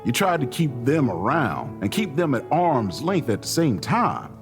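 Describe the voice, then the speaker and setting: Audio drama role: folksy male mentor, mid pitch with lively swings, gently coaxing, music beneath. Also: measured pace. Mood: reassuring, frank. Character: folksy, warm-hearted older mentor friend, gently teasing. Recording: music underneath.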